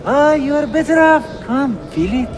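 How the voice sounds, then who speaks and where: An actor playing a wheedling, excitable rug merchant in a video game, with theatrical haggling salesman patter. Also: very high voice, normal speed, a crowd in the background, background noise. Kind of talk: scripted video game dialogue.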